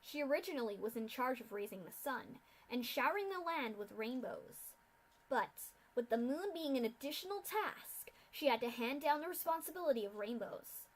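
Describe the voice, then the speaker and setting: A woman voicing a cold, masked female pegasus official who lectures loudly in a storybook reading. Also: high-pitched, expressive, moderate pace, low-quality recording. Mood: lecturing. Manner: lecturing, loud.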